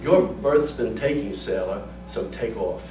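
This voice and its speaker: A man reading a story, voicing a sharp-tongued, scornful woman who is biting and dismissive toward her returned sailor. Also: fairly low pitch, lively intonation, a little slow, music in the background. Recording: roomy, distant mic, faint noise.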